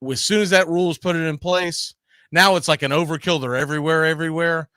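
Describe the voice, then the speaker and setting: He is a man hosting a casual, argumentative sports discussion. His voice is bright and sharp, pitched a little high, in a very dry recording. He talks emphatically, circling back to repeat words as he makes his case. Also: steady tone, quick pace, smooth, dry recording. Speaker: sports talk host.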